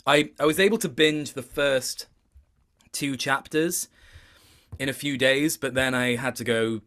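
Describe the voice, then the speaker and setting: A man's voice: medium pitch, a little slow, pushed and bright. Thoughtful man in a casual interview. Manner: thoughtful, hesitant, circling back to rephrase. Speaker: voice actor being interviewed.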